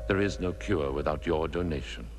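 A man's voice: very deep voice, moderate pace. A man reading a scripted, solemn public service appeal as an announcer. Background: loud music.

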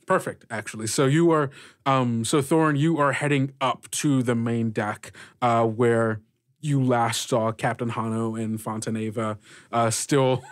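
A male game master improvises narration for a tabletop game. His mid-pitched voice moves slowly, phrase by halting phrase. The recording is dry. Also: no room sound.

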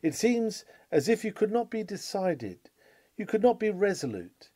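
Mellow, higher-pitched man reading a sermon. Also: very animated, a little slow. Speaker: sermon reader. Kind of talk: read-aloud Victorian sermon.

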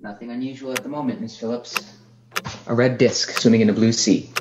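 A man playing a measured, matter-of-fact astronomy professor in an old-style radio drama. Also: mid-range voice, fairly even intonation, lo-fi sound, close to an everyday voice. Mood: calm, matter-of-fact. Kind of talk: 1930s radio acting. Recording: roomy, noisy track with ticking.

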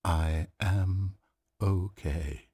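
A man's voice: low-pitched, steady tone, very slow, mellow, slightly rough, dry recording, heavily acted. An actor voicing a desperate, ordinary office worker in a video game, pleading intensely as he loses his sanity. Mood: weary pleading.

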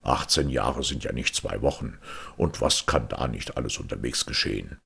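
A man's voice: moderate pace, gravelly, bright, forceful tone, husky, heavily acted. A man voicing a scheming, imperious king in a storybook fairy tale. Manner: low, commanding.

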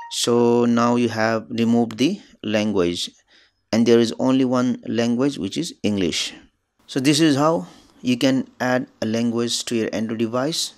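A man whose native language is Nepali, hosting his channel and explaining phone settings step by step in plain instructional narration. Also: mid-range voice. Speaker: tech tutorial channel host.

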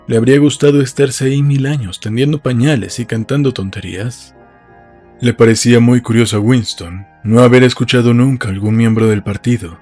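Guy reading a novel, kinda low and raspy, measured storytelling, soft music under it. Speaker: audiobook narrator. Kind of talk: scripted literary narration.

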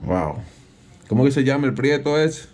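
A man's voice: mid-range voice, sing-song, normal speed, darkish tone. A man with a Dominican accent playing an aggrieved, protective father who claims to be a police captain on a prank call, insistent and repetitive. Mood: pleading, insistent. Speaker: prank caller. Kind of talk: improvised prank phone call.

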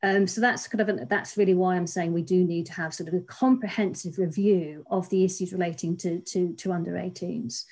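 A woman in her fifties, a legal academic, giving measured, earnest panel remarks over a video call from a small home office, with frequent restarts. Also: lowish voice, steady tone, a little mellow. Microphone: laptop webcam video call.

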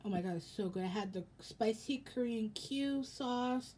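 A young American woman chatting casually for a haul vlog in a rambling, halting way, with a slightly raspy voice. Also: a little high, dark tone, slight background noise. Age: young adult. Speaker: vlogger. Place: indoors in a home room.

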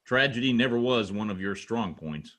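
A man's voice: on the low side, expressive, moderate pace, fairly bright tone. An older man playing a pompous, grumbling husband and hammy actor in an amateur old-time radio comedy. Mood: scornful. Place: home office, video call. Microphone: video call.

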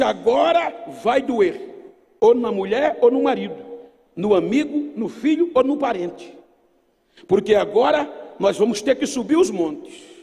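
High-pitched gravelly Brazilian preacher man. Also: darkish tone. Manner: emphatic, oratorical, storytelling. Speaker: pastor preaching at a youth Bible seminar. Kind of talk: Pentecostal sermon to a large congregation. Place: large church auditorium. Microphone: microphone on a podium stand. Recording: long hall reverb from PA system.

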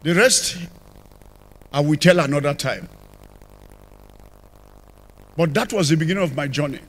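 An older man with a Nigerian accent, telling his conversion testimony as a church apostle, with sudden rises and drops in loudness, on a handheld mic in a large church. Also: on the high side, a little slow. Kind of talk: testimony sermon. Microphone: handheld microphone.

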